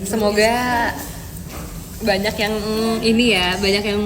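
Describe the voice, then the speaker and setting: A woman's voice: mid-range voice, little pitch movement, unhurried. A young woman on a casual live stream, playful and teasing, chatting between bites. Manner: playful and teasing, talks while eating. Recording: echoey room with crowd noise behind.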